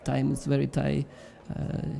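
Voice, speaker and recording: Gravelly man, halting. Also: medium pitch, completely flat delivery, mellow. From Russia. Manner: hesitant, halting, short replies with fillers. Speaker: competitor. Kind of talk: brief answers to an interview.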